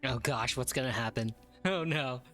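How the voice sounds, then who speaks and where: Woman streamer w a low voice reacting casually to a game, music behind her. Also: normal speed, fairly bright tone. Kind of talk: casual live gameplay commentary.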